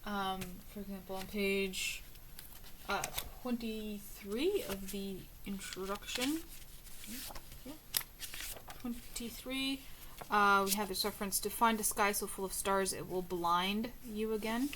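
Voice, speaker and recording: A woman reading aloud from book notes in a casual, musing way, with many ums and uhs, often trailing off softly. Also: medium pitch, slow pace, background noise. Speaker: book reader and annotator.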